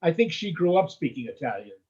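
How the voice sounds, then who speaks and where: A man from the United States in a casual interview, rambling warmly and tagging his sentences with "okay?" and "you know?". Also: fairly high pitch, sing-song, a little fast, breathy edge, highs cut off.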